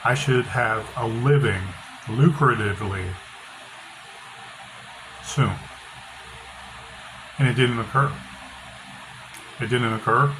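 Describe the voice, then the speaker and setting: Loud, bassy man, blunt and opinionated. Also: slow pace, pauses often. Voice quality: loud, bass-heavy voice. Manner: blunt, opinionated, repeats phrases. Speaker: author. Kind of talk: casual monologue. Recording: noisy background hiss.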